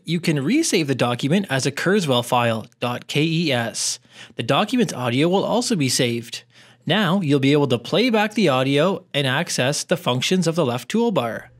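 Male tutorial narrator, fairly high voice, clear instructional scripted delivery. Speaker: tutorial presenter, educational technologist.

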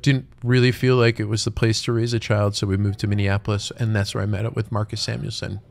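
A man in his forties hosting a casual interview, speaking in a relaxed, reflective way, on a boom mic in an empty restaurant. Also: fast, creaky. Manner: relaxed, reflective, loose phrasing. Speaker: podcast host. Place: empty restaurant dining room. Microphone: studio mic on boom arm.

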